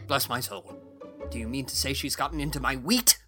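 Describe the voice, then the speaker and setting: Woman as a gruff, blustery old farmer. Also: very deep voice, a little fast, pushed and bright, soft background music, voice pushed down. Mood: alarmed blustering. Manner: blustery, blunt, folksy exclamations. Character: gruff, outspoken, irritable but kindly old male farmer. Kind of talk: audiobook character dialogue.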